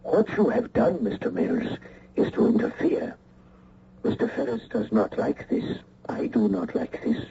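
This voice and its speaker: An actor playing a menacing foreign spy in a radio mystery, with formal, deliberate, mockingly courteous speech. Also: male voice, sits high, wildly swooping pitch, vocal fry, exaggerated voice. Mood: cold threat. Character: cold, menacing male foreign spy with a gun. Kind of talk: old-time radio mystery acting. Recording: noisy, muffled old radio recording.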